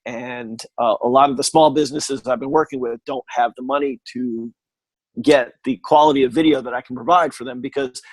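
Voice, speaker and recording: A middle-aged man telling stories in a casual chat, animated and full of jokey asides. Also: mid-range voice, a little fast, no echo, low-quality recording. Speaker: guest. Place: living in Texas.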